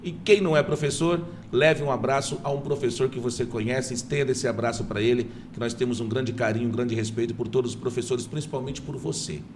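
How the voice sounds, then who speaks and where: A man hosting a podcast interview, asking questions in a warm, friendly way. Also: medium pitch, fairly even intonation, fairly quick, few pauses, slight background noise. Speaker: podcast host.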